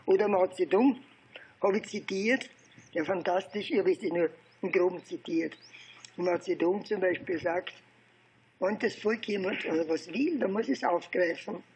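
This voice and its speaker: An elderly man with an Austrian accent and a husky voice, telling his recollections informally in a halting, digressive way with repeated phrases. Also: high-pitched, a little mellow, low-quality recording. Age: 70s or older. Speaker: interviewee recounting political and research past. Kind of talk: informal oral history storytelling.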